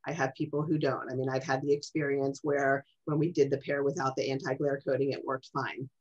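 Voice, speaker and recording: A middle-aged woman with a strong voice explaining things in a measured way as a specialist on a webinar panel. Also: a bass voice, level tone, a little mellow. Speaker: speech-language specialist on a panel. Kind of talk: webinar question-and-answer. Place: small office, indoors. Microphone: video call. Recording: dull video-call audio.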